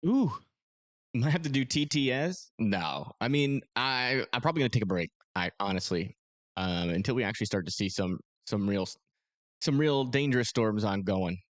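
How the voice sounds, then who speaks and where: A man with a hoarse, strained voice, casually and self-deprecatingly talking through live radar coverage as a livestream host. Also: on the low side, expressive, normal speed, dry recording. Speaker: storm-chasing livestream host. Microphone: close desk microphone.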